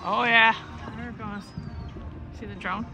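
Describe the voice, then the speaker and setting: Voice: mid-range voice, level tone, unhurried, bright, forceful tone, muffled recording. A vlogger chatting casually and rambling along, irreverent and joking, often slipping into bits of song. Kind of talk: casual, rambling travel vlog chat.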